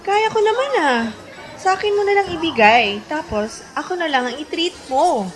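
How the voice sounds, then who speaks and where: A woman playing a spirited young college best friend in a Filipino radio drama, expressive in both story and dialogue. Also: very high voice, moderate pace, rough recording. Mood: playful, teasing. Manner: expressive, sentimental or playfully teasing. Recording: prominent music bed under voice.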